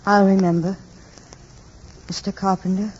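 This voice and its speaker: A woman's voice: medium pitch, flat delivery, measured pace, darkish tone, slightly rough. An actress playing a terrified, emotional young woman in a 1950s radio drama. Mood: tender. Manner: breathless, emotional, crying out. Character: terrified young woman pleading with an alien robot. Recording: dull vintage radio recording with music behind.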